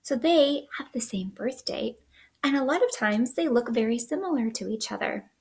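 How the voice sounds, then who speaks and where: A woman in her thirties hosting a children's story time, reading aloud in an expressive, sing-song way at home. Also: on the high side, moderate pace, creaky. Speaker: children's librarian, storytime host. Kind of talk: picture book read-aloud for young children. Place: small living room indoors.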